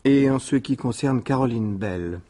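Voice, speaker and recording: An actor playing a tactful police inspector in a French radio drama, questioning politely and carefully. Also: male voice, mid-range voice, a little slow, dark tone, close to an everyday voice. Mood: probing, tactful. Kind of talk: 1950s-style French radio detective drama acting.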